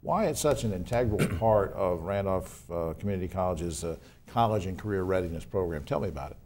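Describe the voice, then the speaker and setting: A man's voice: deep voice, animated, fairly quick, darkish tone. A man in his sixties hosting a conversational TV interview in a folksy, enthusiastic manner. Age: 60s. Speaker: TV talk show host.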